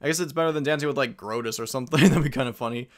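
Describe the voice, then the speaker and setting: A man's voice: mid-range voice, very fast. Joking man doing game commentary. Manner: joking, playful. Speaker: Let's Play host.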